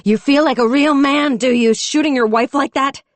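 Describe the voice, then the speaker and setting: Woman reading a furious young lady cop, yelling high and loud, close dry audiobook mic. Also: animated, moderate pace, dry recording, close to an everyday voice. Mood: furious shouting. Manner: shouting, furious, tearful. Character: fierce young woman, off-duty police officer.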